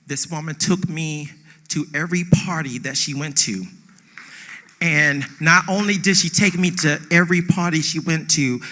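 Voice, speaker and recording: Bright, forceful man giving an acceptance speech. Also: on the high side. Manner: emphatic, repeats phrases for effect. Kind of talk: emotional, off-the-cuff acceptance speech. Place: on stage at a theatre awards ceremony.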